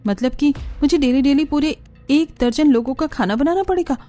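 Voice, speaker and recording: Woman voicing a high-pitched bubbly young woman. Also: moderate pace, dark tone, soft background music, close to an everyday voice. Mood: grumbling. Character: bubbly young college woman, food-loving and fussy.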